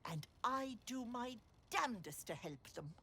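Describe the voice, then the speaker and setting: A woman's voice: fairly high pitch, animated, a little slow, pushed and bright, husky, full character voice. A woman voicing a sly old hag who poses as a doting auntie in a video game, with an Irish accent and sugary endearments edged with menace. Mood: cloying, sly. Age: older. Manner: cloying endearments turning to menace. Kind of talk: theatrical video game acting.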